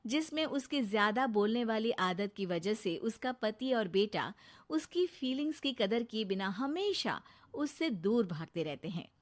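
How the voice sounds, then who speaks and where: A woman narrating a scripted moral story for a cartoon. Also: medium pitch.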